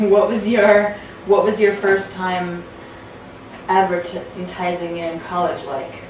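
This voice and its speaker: A woman's voice: lowish voice, steady tone, takes it slowly. A young woman guest host with a stutter, chatting casually. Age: young adult. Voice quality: stutters, repeating sounds and words. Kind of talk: casual chat. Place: small indoor living room. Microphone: far camera. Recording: distant mic, echoey room, faint hiss.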